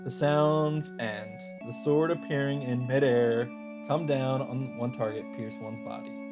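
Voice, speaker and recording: 남자 게임 실황 진행자의 낭독, 잔잔한 배경 음악. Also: male voice, mid-range voice, fairly even intonation, unhurried. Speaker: let's play host. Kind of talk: reading visual novel narration aloud, with reactions. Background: game music.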